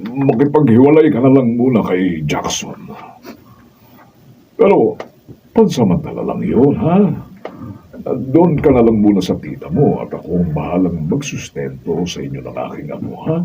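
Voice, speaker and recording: A man's voice: fairly low pitch, sing-song, normal speed, mellow. An actor playing an apologetic, kindly older father-in-law in a Filipino radio drama, hesitant and coaxing. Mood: embarrassed, reassuring. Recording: muffled, distant mic, faint noise.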